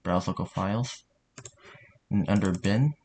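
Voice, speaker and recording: A man narrating a software tutorial in an informal, somewhat hesitant way. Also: low voice, unhurried, breathy edge. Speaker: tutorial host.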